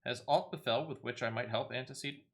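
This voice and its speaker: A man's voice: medium pitch, quick pace, close to an everyday voice. Man voicing a courteous, dutiful fantasy guard. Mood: respectful. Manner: formal, archaic, deferential.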